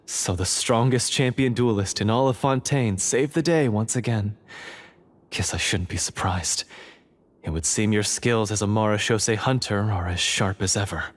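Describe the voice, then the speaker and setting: A man playing a melodramatic, brooding treasure-hunting schemer in a video game, with halting, dramatic delivery. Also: on the low side, natural voice. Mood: shaken.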